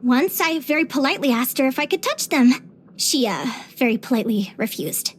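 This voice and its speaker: Game role: bubbly young naturalist adventurer girl, high-pitched, expressive, curious and sweet. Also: fairly quick, slight background noise. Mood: sheepish, fond. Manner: expressive, chirpy, with sighs and giggles. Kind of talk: scripted video game character voice lines.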